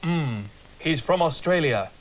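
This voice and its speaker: An actor playing a chummy, earnest hospital colleague in a comedy sketch. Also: male voice, a little high, animated, slow, a little hiss or hum.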